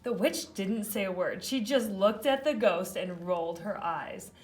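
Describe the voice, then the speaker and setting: A woman reads a children's story aloud at a slow pace. Her medium-pitched narration is expressive, leaning on key words.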